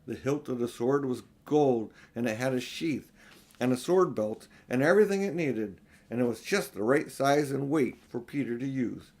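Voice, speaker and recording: An older man narrating a children's audiobook expressively, with unhurried, deliberate phrasing. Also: medium pitch, expressive, darkish tone. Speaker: audiobook reader.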